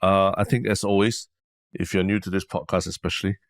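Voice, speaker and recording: Singaporean male co-host, thirties, very deep voice, deadpan teasing, dry booth sound. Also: a little fast, no room sound. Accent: Singaporean English. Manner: dry, teasing, hesitant with fillers. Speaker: podcast co-host. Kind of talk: casual chat.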